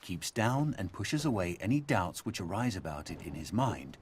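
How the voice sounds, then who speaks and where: A man reading scripted philosophical essays in a measured, emphatic, husky voice, as a thoughtful narrator. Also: sits low, sing-song, a little hiss or hum. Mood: reflective, earnest. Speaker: voice actor reading quotations for game audio logs.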